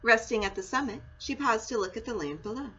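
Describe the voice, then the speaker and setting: A woman reading a children's story aloud in an expressive, storytelling style. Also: fairly high pitch, fast talker. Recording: muffled audio with clear background noise.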